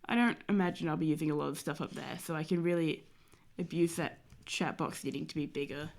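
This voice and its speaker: A woman's voice: low-pitched, a little fast, darkish tone. A young woman streamer, nasal and stuffy from a cold, chatting casually and rambling with many fillers as she draws. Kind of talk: casual chat while drawing.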